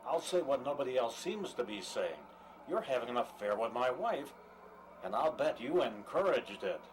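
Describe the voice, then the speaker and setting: A man's voice: mid-range voice, big pitch swings, normal speed, natural voice, rough recording. A man playing a jealous, menacing betrayed husband in an audio drama, sarcastic and needling. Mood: bitterly sarcastic. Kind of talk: radio-play style dramatic acting. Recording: faint background noise.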